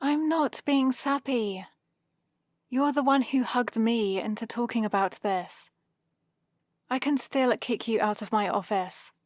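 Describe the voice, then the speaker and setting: A British woman playing a guarded female supervillain who is awkwardly soft on her hero, in a hesitant, defensive ASMR roleplay style. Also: a little high, measured pace, dry recording, studio quality, natural voice. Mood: grudging, exasperated. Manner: hesitant, defensive, softly exasperated.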